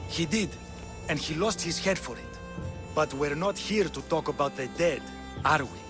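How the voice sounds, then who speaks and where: A man's voice: on the high side, moderate pace, natural voice. An actor playing a sardonic, condescending Spartan king with theatrical delivery in a dramatic video game. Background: game music.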